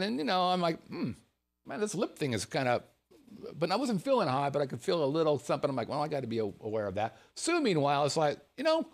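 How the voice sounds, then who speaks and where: A man from the United States, a show host, telling a personal anecdote in a casual, animated way and chuckling as he goes. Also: medium pitch. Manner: animated storyteller, chuckles through his words.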